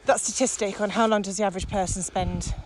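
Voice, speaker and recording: British woman chatting over clear outdoor noise. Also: medium pitch, steady tone, quick pace. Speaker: podcast co-host, corporate trainer. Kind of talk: casual conversational podcast discussion. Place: outdoors, recorded while walking.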